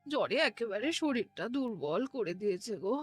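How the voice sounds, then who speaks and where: A woman voicing a folk-tale wife or queen in a cartoon, plaintive and expressive. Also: mid-range voice, a little fast, breathy edge, dry recording, faint music behind. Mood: weary. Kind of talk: animated cartoon voice acting.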